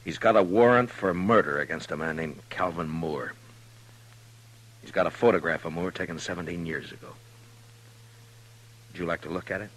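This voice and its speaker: An actor playing a steady, grave, plain-spoken frontier lawman in an old-time radio western, halting and understated. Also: sits low, low-quality recording. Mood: troubled, grave.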